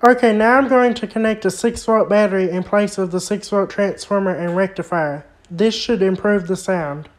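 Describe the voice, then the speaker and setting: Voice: mid-range voice, normal speed, few pauses. A hobbyist host giving a hands-on repair demonstration, explaining each step in a plain way. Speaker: vintage radio repair hobbyist and video host.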